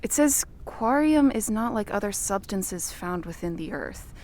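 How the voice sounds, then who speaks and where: A woman's voice: medium pitch, lively intonation, a little slow, a little mellow, close to an everyday voice. A woman playing an earnest, easily outraged reporter who speaks emphatically in an audio drama. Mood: awed, curious. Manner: emphatic, righteous, wordy.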